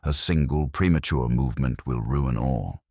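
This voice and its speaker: A man voicing a calm, intense male detective in an audiobook, speaking in measured, theatrical, authoritative pronouncements. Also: rumbling low, no echo, clean studio sound. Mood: commanding. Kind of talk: audiobook character dialogue.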